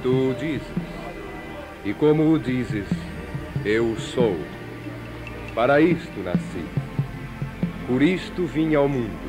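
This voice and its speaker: Calm holy prisoner, very slow, solemn, dignified, biblical drama, music underneath. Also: male voice, medium pitch, rough recording. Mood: serene, solemn. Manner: solemn, measured. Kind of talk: biblical audio drama acting.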